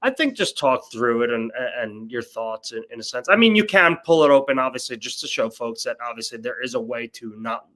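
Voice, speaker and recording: A man's voice: mid-range voice, expressive, a little fast, few pauses, pushed and bright. A young adult man hosting a casual sports betting chat, a little nasal from a cold, upbeat and full of fillers and restarts. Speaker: host.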